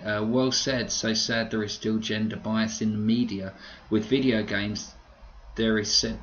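Guy podcast host w a lowish voice, chatty n rambly, dry jokey asides, muffled recording. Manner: rambling, conversational, wry joking asides. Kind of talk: casual podcast commentary, reading out listener comments. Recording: dull lo-fi audio with clear noise.

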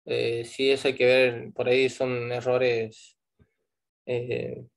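A man's voice: mid-range voice, completely flat delivery, takes it slowly, gravelly. A man with an Argentine Spanish accent teaching a live online programming class, explaining step by step and checking in with "¿sí?" after each point.

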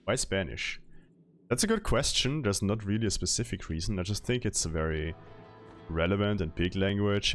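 A young man streaming a game in a casual, upbeat way, often laughing and answering his chat, talking into a studio mic in a small room. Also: deep voice. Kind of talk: casual livestream chat while gaming. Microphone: studio mic on arm, webcam framing.

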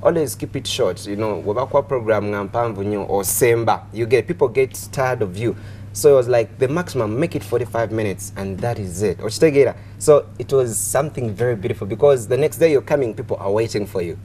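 Young ugandan guy hosting a tv chat, rambly and repetitive, mid pitch, bit of noise behind. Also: fairly quick, dark tone. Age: young adult. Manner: chatty, repetitive, punctuated with "you know". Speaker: TV host. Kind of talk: casual chat interview. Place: TV studio. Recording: clearly audible background noise.

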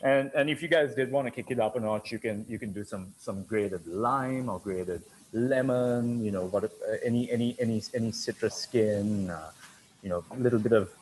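A man's voice: fairly low pitch, normal speed, darkish tone, slight background noise, rough recording. A man in his thirties working as a bartender, casually explaining a cocktail recipe as he mixes it, often repeating words. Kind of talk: cocktail demonstration. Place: dim bar set, indoors.

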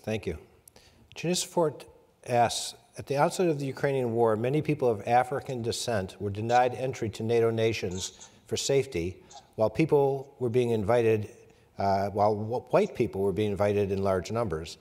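A man's voice: medium pitch, normal speed, warm tone, in a large hall. An older man moderating a video-call discussion, reading out audience questions.

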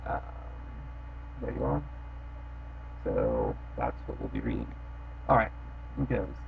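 A man's voice: very deep voice, slow, vocal fry. Young man reading rhymes on a webcam. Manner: casual, offhand chat with fillers, then steady rhythmic reading. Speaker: host reading children's poems. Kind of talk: reading a rhyming poem aloud. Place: bedroom, indoors. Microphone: built-in webcam mic. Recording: dull lo-fi webcam sound with faint hiss.

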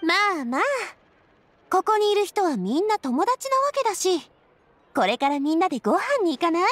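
A woman's voice: very high voice, very animated, normal speed, fairly bright tone, dry recording, full character voice. A woman playing a cheerful, sociable young lady in Japanese game acting, friendly and rallying everyone around her. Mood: cheerful, inviting. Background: background music.

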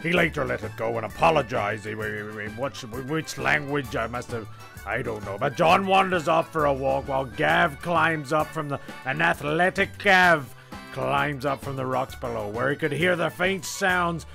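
A male narrator with a forceful, creaky voice at a middling pitch. He tells a comic story in a halting, rambling manner, often backtracking. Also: soft background music. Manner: halting, digressive, stumbling mock-storyteller.

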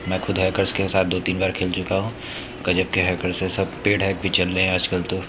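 A man's voice: deep voice, completely flat delivery, a little fast. A man with an Indian accent giving casual gaming news commentary, talking informally to his viewers like brothers. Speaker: gaming YouTuber. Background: music behind the voice.

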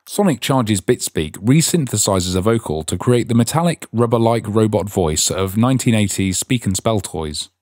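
A man's voice: fairly low pitch, lively intonation, moderate pace, few pauses, a little mellow, dry recording, clean studio sound. A man reading scripted instructional narration as the narrator of a tutorial.

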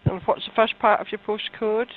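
A woman working as a helpline operator, questioning in a brisk, blunt, businesslike way. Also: mid-range voice, level tone. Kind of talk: phone intake questioning. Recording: tinny, narrow telephone line.

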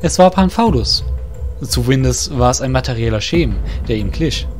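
A man narrates a fantasy audio drama in a steady, midrange voice with a measured storytelling pace. Faint music plays underneath. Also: mid-range voice.

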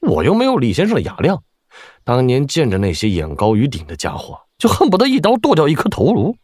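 An actor playing an obese, fawning general with a folksy, self-deprecating manner and a husky voice in a Chinese wuxia audio drama. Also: male voice, mid-range voice, wildly swooping pitch, fairly quick, darkish tone, no room sound. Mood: wry, reflective. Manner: obsequious, chuckling, sighing, folksy.

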